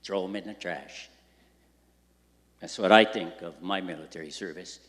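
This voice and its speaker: A man's voice: sits low, moderate pace, fairly bright tone, slight background noise. An older man giving blunt, rambling, bitter public testimony at a community meeting. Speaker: public commenter. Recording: public hall with long reverb.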